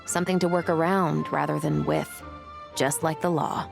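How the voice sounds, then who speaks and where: A woman's voice: low-pitched, fairly even intonation, normal speed, vocal fry, music in the background. A woman playing a shrewd, skeptical investigator in a video game, speaking in a dry, matter-of-fact way.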